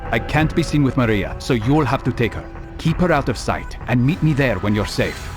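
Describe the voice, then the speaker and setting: Guy voicing a composed eloquent game assassin, measured and principled, mid pitch, music behind. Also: expressive, natural voice. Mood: wry, direct. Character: calm, principled male assassin, philosophical and resolute. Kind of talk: video game cutscene voice acting.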